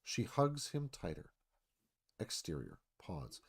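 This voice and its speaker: A man's voice: sits low, huge pitch swings, takes it slowly, vocal fry, breathy edge. A man reading dramatic scripted narration as screenplay narrator, in clipped phrases.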